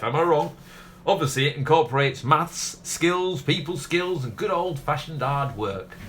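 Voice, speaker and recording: A man's voice: on the high side, fairly bright tone, a little hiss or hum. A man playing a proud, rambling middle-aged ice cream parlour owner with a South Yorkshire accent in a comedy radio play. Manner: garrulous, rambling, cheerfully talks over others. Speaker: actor.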